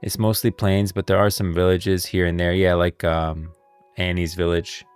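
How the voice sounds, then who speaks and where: Male streamer, very low voice, casual and rambling lore commentary over faint background music. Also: little pitch movement, clear, resonant tone, dry recording. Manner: rambling, thinking aloud, pauses to read out lines.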